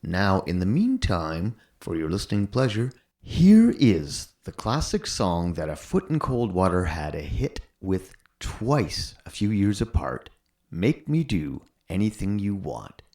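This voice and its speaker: A man with a very deep voice hosts an interview podcast. He speaks in a conversational style as the interviewer. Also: very animated, measured pace, a little mellow.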